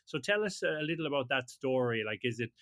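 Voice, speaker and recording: A man hosts an interview with a bright, forceful voice. He speaks conversationally and closes his points with tag questions. The sound is close and dry, with no room. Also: mid-range voice, animated, fairly quick, dry recording. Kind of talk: casual interview chat.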